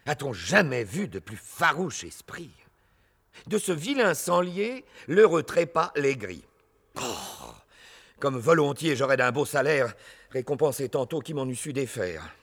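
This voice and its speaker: An actor playing a cowardly, lovesick clown in classical French stage farce, with drawn-out theatrical phrasing and exclamations. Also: male voice, mid-range voice, sing-song, a bit bright. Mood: grumbling. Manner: drawn-out mock-lyrical phrasing with stammers and exclamations. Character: cowardly, boastful, lovesick clown, fawning when frightened. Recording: long hall reverb, music behind.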